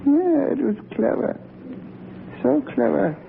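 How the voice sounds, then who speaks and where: A crazed old male watchman, obsequious and gloating in a cracked, creaky voice. He draws his words out slowly and haltingly. It comes through thin and phone-like, as on an old radio drama broadcast. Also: extremely high pitch, expressive, a little hiss or hum, exaggerated voice. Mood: bitter, gloating. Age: older. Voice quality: creaky, cackling. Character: crazed, fawning old museum watchman bent on vengeance. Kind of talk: 1940s radio drama acting.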